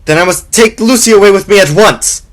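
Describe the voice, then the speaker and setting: A man's voice: high voice, brisk, lightly acted. A young man in a radio drama playing an anxious, devoted young lover, speaking earnestly and pleadingly. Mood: alarmed. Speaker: actor in a school radio drama. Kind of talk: theatrical radio drama acting.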